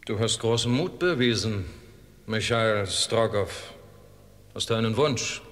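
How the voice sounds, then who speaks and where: A stern grand duke, echoing hall. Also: male voice, lowish voice, measured pace, fairly bright tone. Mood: grave, approving. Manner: formal, authoritative questioning. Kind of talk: German audio drama acting. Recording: long hall reverb.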